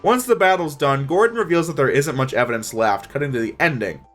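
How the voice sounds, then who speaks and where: High-pitched, lively young male reviewer. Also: a little fast, faint music behind. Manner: opinionated, informal. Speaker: video reviewer. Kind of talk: scripted review narration, casual.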